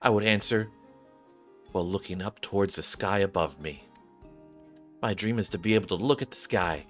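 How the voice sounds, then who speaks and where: A man's voice: low voice, normal speed, fairly bright tone, faint music behind. A man reading scripted game narration as a wistful, earnest young dreamer telling their own story.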